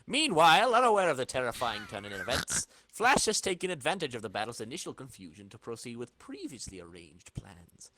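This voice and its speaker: A man's voice: mid-range voice, sing-song, brisk, hardly any pauses, sharp tone. A man narrating a comic book as a dramatic reading, with theatrical storyteller phrasing. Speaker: podcast host and narrator.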